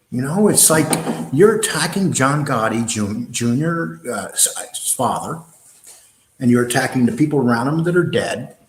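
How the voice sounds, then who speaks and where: A man's voice: a little high, echoey room, poor audio. A man with a New York accent hosting a live online show, answering viewer comments in a blunt, combative way, with many fillers and restarts.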